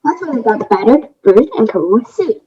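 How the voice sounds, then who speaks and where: A woman's voice: fairly high pitch, lively intonation, a little fast, dark tone, slightly rough. A woman playing a prim, aggrieved fairy-tale woman, matter-of-fact and self-justifying, in readers theater. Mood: aggrieved, firm. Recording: dull, lo-fi microphone sound.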